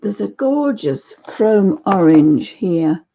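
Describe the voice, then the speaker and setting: A woman with a croaky voice hosting a live painting session, chatting to viewers and thinking aloud as she works. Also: medium pitch, lively intonation, slow pace, mellow, muffled recording. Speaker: art channel host. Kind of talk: live painting demo chat.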